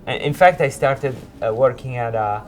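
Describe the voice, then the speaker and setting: A man in his forties from Italy with an Italian accent, a creative director recounting his career in an informal interview in hesitant, halting phrases. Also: mid-range voice, soft background music. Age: 40s. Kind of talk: informal interview, telling own career story.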